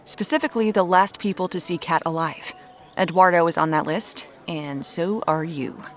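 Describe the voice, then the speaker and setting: A woman's voice: on the low side, moderate pace, natural voice. A woman playing a determined, dryly frank amateur detective in a naturalistic audio drama. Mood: thoughtful, probing. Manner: dry, frank, stubborn. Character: determined amateur woman detective hunting her sister's killer.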